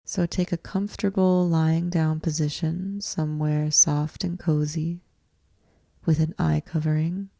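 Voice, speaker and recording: Slow, mellow woman guiding deep relaxation. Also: vocal fry, no room sound. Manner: measured, deliberate phrasing with long pauses. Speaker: yoga nidra teacher guiding a meditation. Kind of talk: guided meditation, slow instructional narration.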